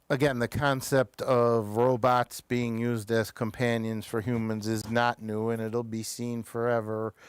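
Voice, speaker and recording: A man hosting a casual review discussion, talking in a halting way that often trails off and picks up again. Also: lowish voice, clean tone, no echo. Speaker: show host reviewing television episodes.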